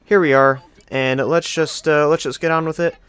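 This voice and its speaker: A man's voice: on the high side, steady tone, moderate pace, fairly bright tone, smooth. A young man hosting casual video game countdown commentary in an enthusiastic, effusive way. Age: young adult. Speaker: video game channel host.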